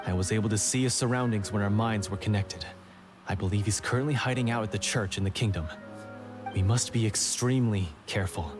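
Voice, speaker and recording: An earnest repentant young hero, played by a man, speaking in a lowish, sincere and formal voice. His delivery is grave and measured. Game music plays behind him. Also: close to an everyday voice. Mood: serious.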